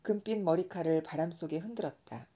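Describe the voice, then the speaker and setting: A woman narrating a storybook audiobook in a calm, gentle reading style. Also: lowish voice, brisk.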